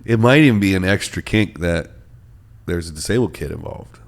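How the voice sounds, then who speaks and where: A man's voice: deep voice, lively intonation, moderate pace. A man from North Carolina hosting a podcast, chatting casually in a joking, teasing way. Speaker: podcast host.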